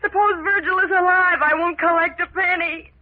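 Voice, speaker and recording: An actress playing a scatterbrained, greedy young widow who talks in a whiny, melodramatic way, in 1940s radio acting. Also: female voice, very high-pitched, fairly even intonation, normal speed. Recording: lo-fi old radio broadcast.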